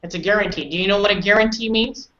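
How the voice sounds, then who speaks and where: A woman's voice: medium pitch, racing, sharp tone, a little hiss or hum. A woman with a Canadian accent leading an informal online English lesson, repeating and rephrasing simply for learners. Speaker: English conversation teacher. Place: indoors on an online group call. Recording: dull roomy online call audio.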